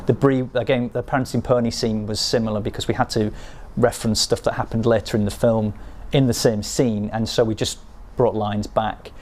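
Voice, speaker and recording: A man giving an unscripted behind-the-scenes explanation as a game developer, hesitant and halting as he thinks aloud. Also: fairly low pitch, level tone, a little mellow, a little hiss or hum.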